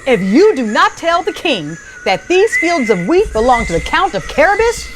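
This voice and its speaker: A woman voicing a cunning, bold, flattering trickster cat in a theatrical storybook read-aloud for children. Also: sits high, normal speed, noisy background, pitched above the performer's own voice. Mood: threatening.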